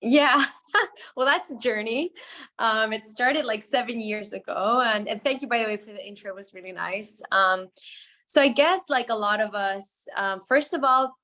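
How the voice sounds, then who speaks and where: A woman's voice: a little high, normal speed, sharp tone. A woman from Spain speaking as an interview guest, telling a personal story in a candid, conversational way. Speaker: guest speaker, psychologist and life coach. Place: indoors, online video call.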